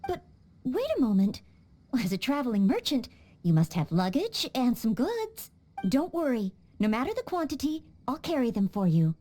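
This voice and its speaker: An earnest, polite young maid in a video game, gentle and eager to help. Her voice sits high and soft, mellow in tone with lively rises and falls. Faint music plays behind. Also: normal speed. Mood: reassuring.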